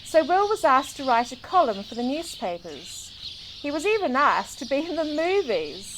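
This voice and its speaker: Female storybook narrator, high-pitched and very animated, expressive read-aloud for children. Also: moderate pace, noisy background. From New Zealand. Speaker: children's book reader. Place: outdoors in a garden. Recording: outdoor recording with clear birdsong. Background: birdsong.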